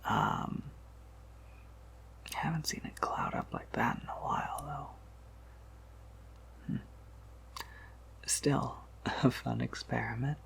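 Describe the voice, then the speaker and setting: A man voicing a gentle, wry vampire apothecary in a breathy ASMR whisper, musing softly and reassuringly. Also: medium pitch, huge pitch swings, slow pace, pauses often, full character voice. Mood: mildly apologetic. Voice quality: breathy whisper with creaky edges. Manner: gentle, reassuring, hesitant musing with soft hums. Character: soft-spoken, patient, wry male vampire apothecary, collector of oddities.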